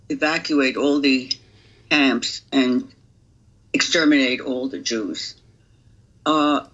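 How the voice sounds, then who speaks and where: Woman in her 80s from Romania, interview guest, measured halting delivery, grave, muffled sound. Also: low voice. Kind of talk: personal testimony.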